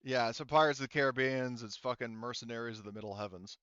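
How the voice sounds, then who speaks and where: A man's voice: mid-range voice, steady tone, fast, a bit bright, clean tone, no room sound, dull audio. A man running a tabletop game as game master, talking casually and explaining the rules, swearing now and then.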